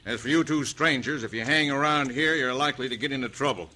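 A stern middle-aged rancher voiced by a man speaks in a medium-pitched Western drawl. He sounds stubborn and fatherly, and the old radio recording carries clear noise. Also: fast, close to an everyday voice. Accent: American Western drawl.

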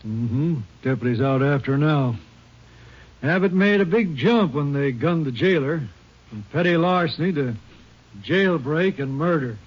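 An actor playing an agreeable, folksy small-county Texas sheriff in a 1950s radio western. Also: male voice, on the high side, animated, a little slow. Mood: grim. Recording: muffled old radio recording.

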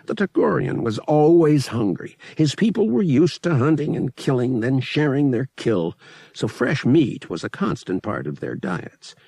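A man narrating a science fiction audiobook as a scripted, expressive dramatic story. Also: medium pitch, vocal fry, husky, no room sound.

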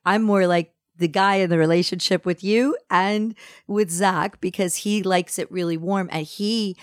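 A woman co-hosting a podcast in casual chat, slightly hoarse from a cold and telling stories in a relaxed way. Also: medium pitch, a little slow, dry recording, studio quality. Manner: relaxed, talkative storyteller. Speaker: podcast co-host.

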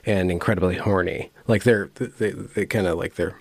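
A man's voice: deep voice, steady tone, moderate pace, no room sound. A man interviewing a guest casually, asking teasing, joking questions.

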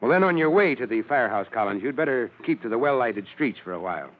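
A man's voice: mid-range voice, very animated, fast talker, lightly acted. Firm authoritative fire chief played by a man, muffled. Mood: stern, concerned. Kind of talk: old-time radio drama acting. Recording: muffled old radio recording.

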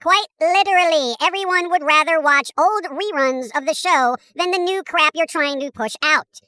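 A performer voicing a brash, excitable cartoon squirrel who talks emphatically and sarcastically in comedy podcast banter. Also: very high-pitched, dry recording, studio quality. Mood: scornful ranting. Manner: emphatic, sarcastic, quick to exclaim.

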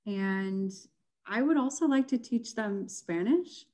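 A woman's voice: mid-range voice, lively intonation, takes it slowly, darkish tone. A young woman chatting as a guest in an interview, laughing often and using many fillers. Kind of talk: casual interview chat.

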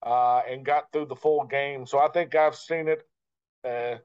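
A man who works as a sports broadcaster answering interview questions in a measured, reflective way, pausing between short phrases. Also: mid-range voice, fairly even intonation, darkish tone, clear, resonant tone. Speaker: guest, radio play-by-play broadcaster. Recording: dull, lo-fi sound.

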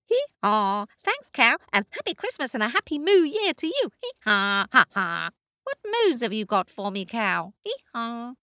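An excitable storybook donkey brays and wheedles in sudden high squeals, as a woman puts him on. His voice swoops wildly up and down. The sound is close and dry. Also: unhurried, no echo, full character voice. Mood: cheerful. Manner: high-pitched brays, pleading, gleeful.

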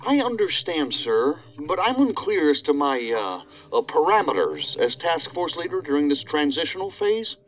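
An actor playing a dutiful, guarded task force leader in a sci-fi audio drama, formal and deferential, heard through a radio-like call filter. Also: male voice, fairly high pitch, sing-song, normal speed, few pauses. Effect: radio or phone line filter. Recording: dull band-limited call line, music underneath.

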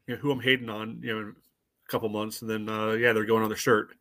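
Bright-voiced man giving wry sports opinions. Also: fairly low pitch, level tone, fast. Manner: wry, blunt, peppers talk with "you know". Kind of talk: casual sports fan commentary.

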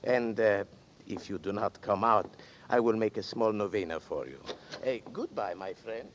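A man's voice: lowish voice, moderate pace, slight background noise, close to an everyday voice. An actor playing a smug, mock-polite male blackmailer in a 1940s radio drama, taunting and theatrical. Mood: scornful. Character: sly, mocking male blackmailer, scornful and smug. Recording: dull 1940s radio broadcast.

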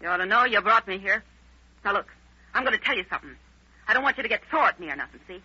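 A brassy American moll from a vintage radio crime play, slangy and evasive under questioning. The voice is hard-edged and husky, on a muffled old broadcast recording. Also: female voice, mid-range voice, animated, rapid-fire, sharp tone, exaggerated voice. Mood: defensive. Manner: slangy, defensive, streetwise drawl. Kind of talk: old-time radio crime drama acting.

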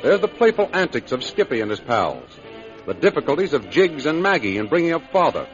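A man's voice: fairly high pitch, sing-song, fairly quick. A man announcing and narrating in a dramatic 1930s radio style, emphatic and showmanlike. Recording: muffled 1930s radio recording. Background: music.

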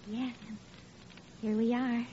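An actress playing a sweet, anxious, devoted newlywed bride, fretful and tender, in old radio comedy style. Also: female voice, a little high, level tone, takes it slowly, dark tone. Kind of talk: 1940s radio comedy acting. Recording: muffled old radio broadcast, faint noise.

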